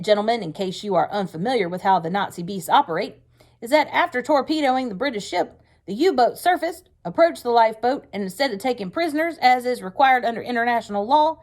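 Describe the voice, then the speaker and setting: A woman's voice: fairly high pitch, voice pushed up, lightly acted. A woman reading a storybook aloud, voicing a fierce, hard-driving male wartime Navy commanding officer with stern, emphatic delivery. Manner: stern, forceful, emphatic speech-making. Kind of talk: read-aloud storybook character voice.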